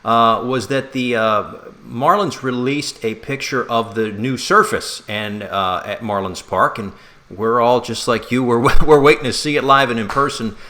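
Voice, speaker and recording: A man co-hosting a sports talk show, speaking casually in a conversational, halting way with restarts. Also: medium pitch, bright, forceful tone.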